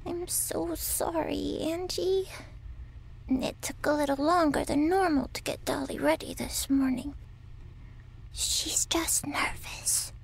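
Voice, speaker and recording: A woman's voice: high-pitched, steady tone, slow, gravelly, heavily acted, rough recording. A woman playing a sweet, anxious young caretaker in an ASMR roleplay, speaking in a girlish, coaxing and submissive way. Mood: meek, apologetic.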